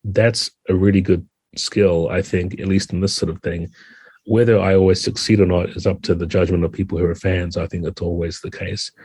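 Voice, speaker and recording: A man's voice: sits low, level tone, fairly quick, darkish tone, slightly rough, no room sound. A man talking conversationally as a guest game designer on a podcast, earnest and thoughtful.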